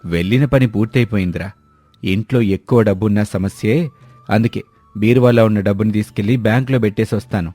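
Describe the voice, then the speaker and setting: Man acting a stern, bright-voiced village elder brother. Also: on the low side, fast talker, no echo, soft background music, lightly acted. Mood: matter-of-fact. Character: stern, grudge-holding elder brother, village fish trader. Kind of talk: dramatised moral story dialogue.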